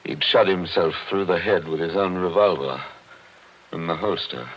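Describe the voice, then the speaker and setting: An actor playing a grieving older gentleman, formal and measured, in 1940s radio drama style. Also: low-pitched. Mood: grave. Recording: dull vintage 1940s radio recording.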